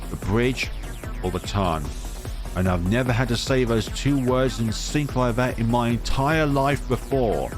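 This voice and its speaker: A man reading a visual novel aloud in a dramatic way, adding asides and correcting his own stumbles. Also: medium pitch. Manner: theatrical, stumbles and corrects himself. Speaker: let's play host. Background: music.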